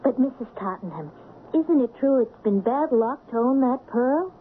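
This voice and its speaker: A woman's voice: high voice, expressive, moderate pace. An actress playing an earnest, anxious young woman, questioning and hesitant, in an old radio drama with tinny, noisy sound. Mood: anxious, protesting. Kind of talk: 1970s radio drama acting.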